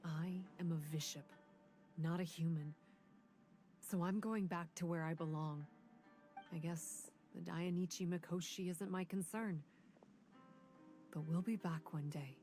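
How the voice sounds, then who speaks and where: A woman voicing a young female vishap raised among creatures, who speaks in a cold, grave and measured way. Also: lowish voice, fairly even intonation, mellow, faint music behind, close to an everyday voice. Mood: solemn. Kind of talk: video game voice acting.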